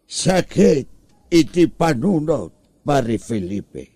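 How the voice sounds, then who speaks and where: A man's voice: fairly high pitch, very animated, dark tone, husky, no room sound, exaggerated voice. A man with an Ilocano accent playing a lazy, whining, hard-drinking husband who feigns illness in a comedy audio drama. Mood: pitiful. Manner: drawn-out complaining, theatrical moaning.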